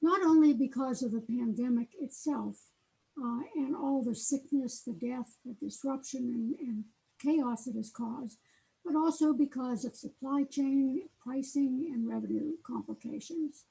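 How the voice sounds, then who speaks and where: A soft-spoken woman giving a conference talk on a computer microphone, with frequent fillers. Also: high voice, measured pace, vocal fry, low-quality recording. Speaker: guest speaker. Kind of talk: conference presentation. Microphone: auxiliary computer mic.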